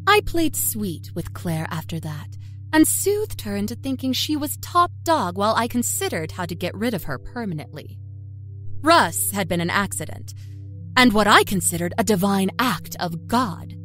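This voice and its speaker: A woman narrates dark fiction in the first person. Her delivery is expressive and dramatic, with lively pitch movement over a soft musical score. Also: normal speed, soft background music. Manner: expressive, storytelling.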